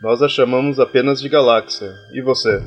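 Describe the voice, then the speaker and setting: A man voicing a calm disembodied human mind in a science fiction audio drama. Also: medium pitch, a little fast, a little hiss or hum, close to an everyday voice.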